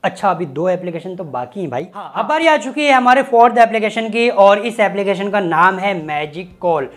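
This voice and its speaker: A man's voice: sits high, expressive, a little fast, fairly bright tone. A man, hosting a casual, chatty tech review with joking, colloquial asides. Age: 20s. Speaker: YouTube tech host. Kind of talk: casual app review to camera. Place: small home studio room with desk. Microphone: lapel mic.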